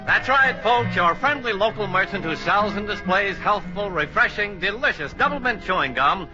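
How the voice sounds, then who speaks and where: A man's voice: high voice, animated, moderate pace, lo-fi sound, music in the background. A man announcing a scripted 1950s radio show, on an old transcription recording.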